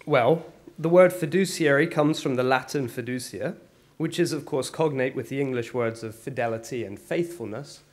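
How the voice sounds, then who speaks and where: A man with a gravelly voice, hoarse from a cold, giving an academic lecture in a wry, measured way. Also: medium pitch. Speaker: guest lecturer.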